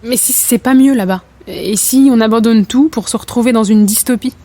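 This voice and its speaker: A woman's voice: a little high, normal speed, warm tone, dry recording, natural voice. A woman playing an embattled, doubtful young athlete in a French audio drama, earnest and colloquial. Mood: anxious, defensive. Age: young adult. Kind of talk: naturalistic French audio fiction acting.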